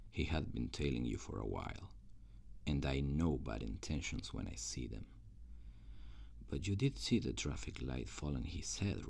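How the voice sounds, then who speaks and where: Man voicing a very deep, gravelly, sardonic demon. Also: a little mellow, heavily acted. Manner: slow, dry, intimate, sardonic. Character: reluctant male demon guardian, jaded, sardonic, gleefully cruel. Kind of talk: ASMR roleplay.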